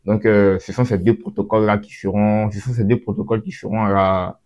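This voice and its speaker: A man's voice: on the low side, little pitch movement, fairly quick, darkish tone. A man giving a casual, off-the-cuff tutorial, often repeating and restarting his phrases as he explains. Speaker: tutorial host.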